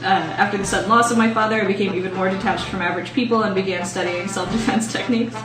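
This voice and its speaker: A woman's voice: medium pitch, steady tone, quick pace. A woman playing a tabletop game, casually reciting her character's backstory. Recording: echoey, distant mic, music behind.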